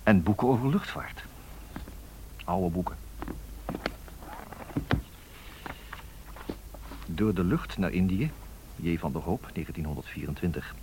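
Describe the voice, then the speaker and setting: Male radio-play narrator: very low voice, nostalgic, reflective, thinking aloud; faintly noisy recording. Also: normal speed. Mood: reflective, reminiscing. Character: musing, nostalgic male narrator looking back on the 1930s. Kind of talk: radio documentary drama narration. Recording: faint background noise and clicks.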